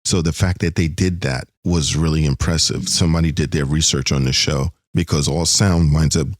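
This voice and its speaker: A man's voice: rumbling low, steady tone, normal speed, no echo, clean studio sound. A middle-aged man co-hosting a podcast in casual, conversational talk about a TV show. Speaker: podcast co-host. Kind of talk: casual TV episode discussion.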